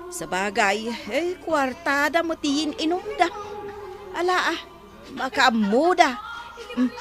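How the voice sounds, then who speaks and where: Disapproving gossip, Ilocano Filipino accent, drawn-out, lively intonation, comedy drama, music behind. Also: female voice, high voice. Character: nosy, disapproving village woman gossiping.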